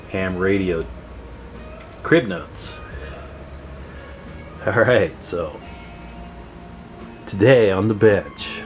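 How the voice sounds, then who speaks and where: A man with a low voice talks informally through a hands-on repair job at his bench. He addresses viewers in a folksy, casual way as he tinkers, with music heard in the recording. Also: poor audio. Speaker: host of a radio repair channel. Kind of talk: casual hands-on workbench commentary. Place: at a workbench, indoors.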